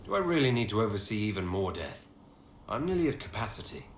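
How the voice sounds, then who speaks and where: An actor playing a weary but decisive leader in a video game, authoritative and dry. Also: male voice, fairly low pitch, big pitch swings, fast. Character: weary, decisive male leader passing judgment. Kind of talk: video game character acting. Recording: roomy echo, faint noise.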